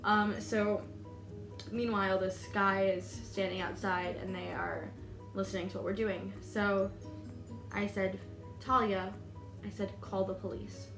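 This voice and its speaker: A woman's voice: mid-range voice, little pitch movement, unhurried. Brief: American woman, 20, vlogger, rambling nervous-laugh delivery; echoey room, music bed. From United States. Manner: chatty, many "like"s and "um"s, laughs nervously. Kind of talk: storytime vlog.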